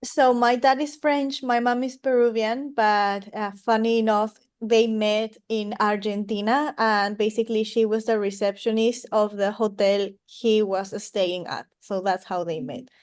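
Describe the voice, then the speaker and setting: A woman's voice: medium pitch, fairly even intonation, unhurried, few pauses, darkish tone, clear, resonant tone. A woman from Peru speaking conversationally as an interview guest. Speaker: podcast guest, singer and harpist.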